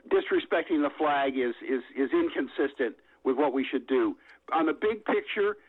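An older man calling in to a radio talk show, blunt and opinionated, with halting restarts. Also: a little high, slightly rough. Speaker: caller. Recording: tinny phone line.

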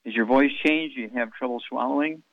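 A man hosting a radio health call-in show, telling rambling stories and firing brisk questions at callers. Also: mid-range voice, no echo, phone-quality sound.

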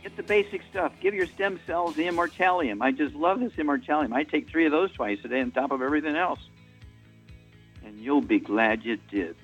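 A man of eighty hosting a radio talk show, pitching products in a rambling, repetitive way with many fillers. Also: fairly quick, fairly bright tone, slightly rough, faint music behind. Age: 80s. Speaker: radio host.

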